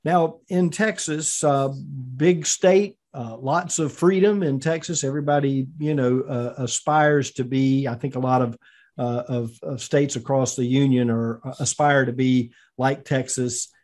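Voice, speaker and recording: A man's voice: medium pitch, measured pace, darkish tone. Guy hosting a podcast, mid voice, lots of uhs, rambles his questions. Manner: rambling, filler-heavy questions with many restarts. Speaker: podcast host. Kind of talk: casual interview hosting.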